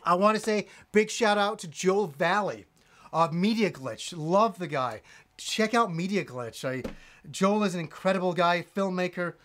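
A man in his forties, a gaming channel host, reading donations on a live stream with lively enthusiasm and rambling restarts, in a room with game shelves. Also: sits high, unhurried. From Yorkshire, England, raised in Canada. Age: 40s.

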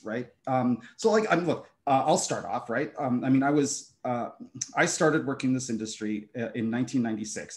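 A man's voice: medium pitch, a little mellow. A middle-aged man whose first language is German, a game designer speaking at a panel over a video call from a room at home, hesitant, full of fillers and restarts. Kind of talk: conversational panel talk.

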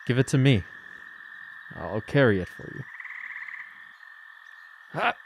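Guy acting a kind polite traveler stranger, medium pitch, measured, bit of noise behind him. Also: big pitch swings. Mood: kindly. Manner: measured, courteous. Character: gentle, kindly male stranger, a traveler. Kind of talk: radio drama acting.

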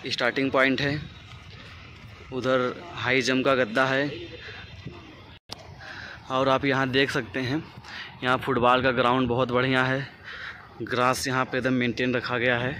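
A young man from India walking viewers through an outdoor athletics stadium, describing what he shows in a plain, direct way. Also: mid-range voice. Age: young adult. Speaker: vlogger, athlete. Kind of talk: walking tour narration. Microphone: phone camera, handheld. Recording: outdoor phone audio with background noise.

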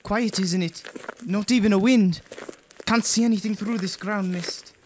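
A man playing an eager, excitable and obedient spaceship crewman in a radio drama. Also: sits high, unhurried, a little hiss or hum. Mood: excited, awed. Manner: deferential, excitable.

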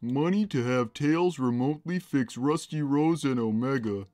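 A man voicing a huge, gentle, simple-minded cat who talks plainly and innocently on a gaming livestream. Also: medium pitch, no room sound, lightly acted. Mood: placid. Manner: plain, slow, naive, reads chat messages aloud. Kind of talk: in-character gaming livestream chat.

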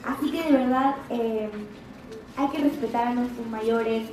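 A woman's voice: a little high, fairly even intonation, unhurried, a little mellow, slight background noise. A young woman with a slight Argentine accent, talking earnestly to a live crowd from an auditorium stage. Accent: Argentine Spanish, slight. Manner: earnest, encouraging, speaks straight to the crowd. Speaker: actress speaking at a fan event. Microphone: handheld mic, recorded from the audience on a phone. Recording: distant, echoey auditorium audio from a phone. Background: crowd ambience.

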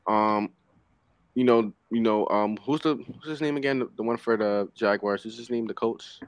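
A young man with a lowish voice talks football casually over a video call headset, rambling and full of fillers. The sound is dry and close, with no room around it. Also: normal speed, no echo. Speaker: panelist. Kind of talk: casual sports chat.

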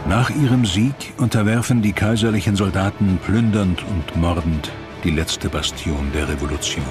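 A man narrating a history documentary from a script, in a grave, measured manner. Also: low-pitched. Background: music.

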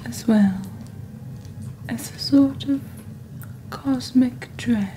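A young woman playing a calm, mysterious witch who hosts a magical shopping channel in soft, breathy, half-whispered ASMR narration. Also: a little high, slight background noise, rough recording. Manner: slow, deliberate, hushed, theatrical presenting. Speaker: host of a fantasy shopping channel. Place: small dim indoor set. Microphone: close fluffy-covered studio microphone.

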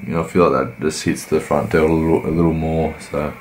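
A man, croaky from a cold, giving laid-back, rambling voice-over commentary on his workout. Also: very deep voice, little pitch movement, fast talker, a little mellow, slight background noise. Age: 20s. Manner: laid-back, rambling, many fillers and restarts. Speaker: fitness vlogger.

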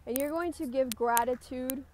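A woman with a fairly high voice guides a group through a meditation. Her delivery is gentle and instructive. Ambient noise can be heard behind her. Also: moderate pace. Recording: clear background noise, ambient sound.

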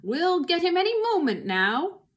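A woman voicing a fat, furious male farmer in a storybook, blustering and shouting as a bully does. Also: fairly high pitch, sing-song, takes it slowly, full character voice. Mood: eager, shouting.

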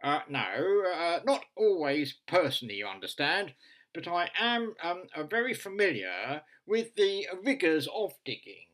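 A man voicing an earnest, long-winded funeral director calling a radio phone-in, nasal, hesitant and repetitive in a deadpan way. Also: a little high, unhurried. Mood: solemn, pedantic.

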